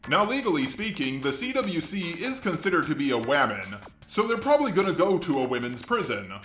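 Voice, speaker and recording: A man's voice: fairly high pitch, sing-song, fairly quick. A man's synthetic robotic voice delivering scripted video commentary. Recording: echoey, music underneath. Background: background music.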